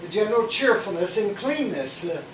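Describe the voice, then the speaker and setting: An actor playing a gentle, doting older Victorian father, a humble toymaker, mild and hesitant in a stage play. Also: sits high, sing-song, normal speed. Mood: fond. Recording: echoey distant stage recording, noisy.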